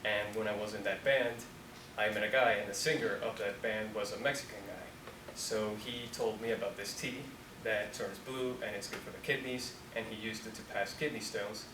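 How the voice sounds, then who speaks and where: A man in his twenties from Colombia, a company founder talking casually to students in a relaxed, rambling way, full of "like". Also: fairly low pitch, normal speed. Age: 20s. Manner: relaxed, rambling, heavy use of "like". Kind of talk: casual talk to an audience. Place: university room. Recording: echoey room, distant mic, noisy.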